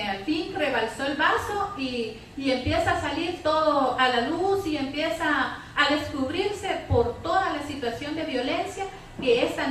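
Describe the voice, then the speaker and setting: A woman's voice: sits high, a bit bright. A woman speaking off the cuff as a panelist at a public debate, conversational and often checking agreement with tag questions. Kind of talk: spontaneous spoken commentary at a public debate. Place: public debate room, picked up from afar. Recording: distant, very echoey room, faint noise.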